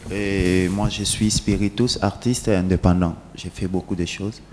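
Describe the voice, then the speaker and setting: Audience member, low warm voice, formal and polite, hall echo. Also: male voice, fairly even intonation, measured pace, slight background noise. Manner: polite and formal, thanks before asking. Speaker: independent artist asking a question. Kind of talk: audience question at a conference. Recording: echoey hall.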